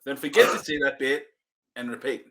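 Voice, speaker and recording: A man's voice: medium pitch, a little fast, a bit bright. A man co-hosting a casual review podcast, laughing often and throwing in dry jokes. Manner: laughs easily, dry joking asides. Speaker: podcast co-host.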